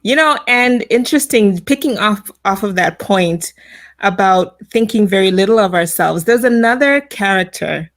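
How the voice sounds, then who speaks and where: A woman's voice: medium pitch, animated, normal speed, fairly bright tone. Storytelling woman podcast host. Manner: storytelling, acts out quoted lines. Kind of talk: casual faith podcast chat.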